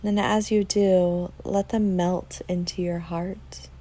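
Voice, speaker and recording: A woman leading a guided meditation in a soft, soothing way, leaving long pauses between phrases. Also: fairly low pitch, level tone.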